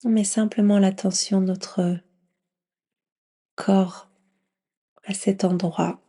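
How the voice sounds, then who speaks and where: A woman leading a guided yoga nidra meditation in a gentle, soothing near-whisper with long pauses. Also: mid-range voice, fairly even intonation, husky.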